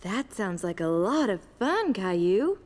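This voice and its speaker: A woman's voice: mid-range voice, very animated, measured pace. A woman playing an encouraging schoolteacher who directs a children's play in a cartoon. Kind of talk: scripted children's cartoon acting. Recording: long hall reverb.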